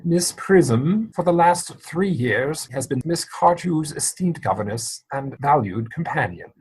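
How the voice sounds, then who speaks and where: A woman takes the part of an earnest young gentleman suitor in a comic stage play. The voice is husky with lively swings of pitch. The speech is formal and insistent. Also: measured pace, full character voice, rough recording.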